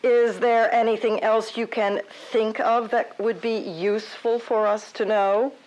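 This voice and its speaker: A woman playing a cold, officious committee inquisitor in an audio drama, questioning in a formal, deadpan manner. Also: a little high, a little slow, close to an everyday voice, rough recording. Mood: cool, formal.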